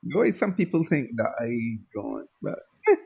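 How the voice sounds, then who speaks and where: A man's voice: mid-range voice, big pitch swings, normal speed, creaky, a loud crowd. A man from Barbados with a Barbadian accent, co-hosting a casual livestream chat in an easygoing way and laughing often. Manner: easygoing, laughs often, reads out viewer comments. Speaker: co-host of a skeptic livestream.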